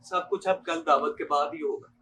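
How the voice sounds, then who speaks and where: A young man student acting an earnest, solicitous gentleman in an amateur domestic stage drama. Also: a little high, steady tone, normal speed, a little hiss or hum. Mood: concerned, soothing. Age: young adult. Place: university seminar hall stage.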